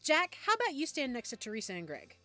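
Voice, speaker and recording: A woman acting in an audio roleplay as an upbeat friend who organizes everyone in a brisk, friendly way. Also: high-pitched, huge pitch swings, sharp tone, no echo, faint music behind, exaggerated voice. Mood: upbeat, organizing. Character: upbeat, organizing young woman judging party games.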